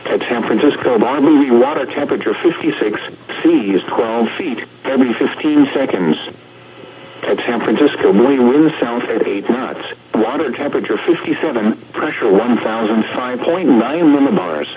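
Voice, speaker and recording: A man's voice: mid-range voice, expressive, normal speed. Automated weather radio announcer: American man, synthetic and impersonal, reading scripted bulletins in segments, muffled radio signal with faint noise.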